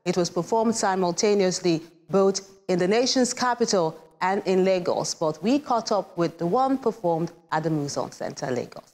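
A woman's voice: fairly low pitch, animated, moderate pace, hardly any pauses, darkish tone. A woman in her thirties with a Nigerian accent presenting a scripted television arts show as its host.

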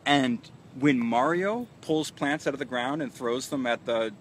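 A man's voice: medium pitch, measured pace. A man in his thirties walks through an outdoor vegetable garden, explaining the crops with easy enthusiasm. He is recorded on a phone held a few feet away. Insects and distant traffic hiss steadily behind him. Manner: enthusiastic, explanatory, walking and pointing at plants. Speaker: urban farm manager giving a tour. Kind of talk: live guided tour. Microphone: phone camera a few feet away.

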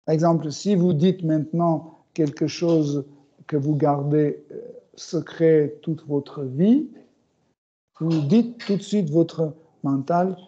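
A middle-aged man giving a scripture class in a small room, speaking slowly and hesitantly with many fillers and soft chuckles. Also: fairly high pitch, husky. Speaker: spiritual teacher. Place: small room with bookshelves, indoors. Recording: dull, muffled recording.

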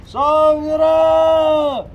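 A man at a street protest, yelling insults with drawn-out angry cries outdoors. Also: very high-pitched, flat delivery, lo-fi sound. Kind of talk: shouted protest rant.

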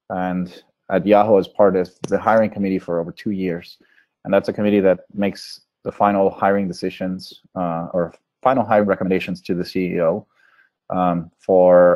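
A man, a Spanish speaker, giving an informal slide presentation as a coach in a halting, measured way over a video call. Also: low voice. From Venezuela. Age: 30s. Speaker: product management coach giving a webinar. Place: small room indoors, on a video call. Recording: dull, lo-fi webinar audio.

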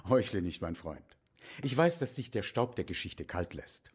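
Husky, low-voiced bookish historian. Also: male voice, very animated, moderate pace, full character voice. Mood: animated, wry. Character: bookish, self-mocking male Viennese historian, wry and absorbed. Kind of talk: German audio drama acting.

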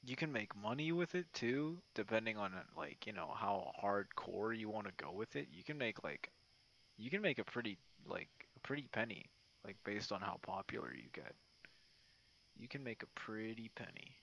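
A man chatting casually in a late-night ASMR style, rambling and halting in an informal way. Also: on the low side, expressive, gravelly, a bit bright, slight background noise. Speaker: ASMR roleplay creator. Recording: dull, muffled lo-fi audio.

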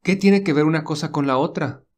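A man voicing a calm, protective young man. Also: on the high side, fairly quick, lightly acted. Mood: calm caring. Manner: calm, reassuring. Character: gentle, protective young man caring for his companion. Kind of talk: audiobook dialogue reading.

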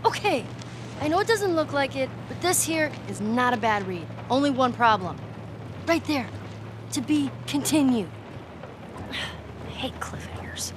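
A woman's voice: high voice, expressive, a little slow, pauses often, noisy background. A woman playing a cheeky, curious American teenage girl, sarcastic and playful, in a cinematic video game. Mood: chatty, casual. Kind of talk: naturalistic cinematic game acting.

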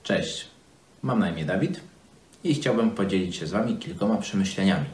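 A man telling a Bible story to children in simple words, halting as he explains, recorded in a small office. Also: deep voice, animated, darkish tone. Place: small office, seated at desk. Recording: roomy indoor echo.

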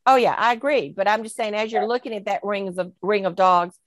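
A woman's voice: mid-range voice, fairly quick, dry recording. Chatty woman on a dry video call. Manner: chatty, thinks aloud with rhetorical questions. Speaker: breeder panelist. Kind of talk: video call panel discussion.

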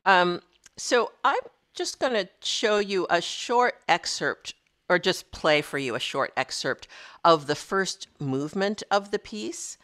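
A middle-aged woman composer giving a measured lecture over a video call, speaking into a studio mic in her home studio. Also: fairly low pitch, lively intonation, fairly bright tone. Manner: measured, explanatory, with occasional ums. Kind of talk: presentation lecture. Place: home studio room with keyboard and shelves. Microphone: studio mic on a boom, video call.

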